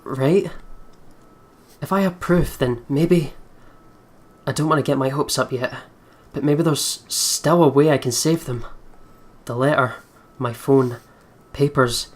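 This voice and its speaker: A game video host reading a horror visual novel aloud dramatically, with casual asides. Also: medium pitch.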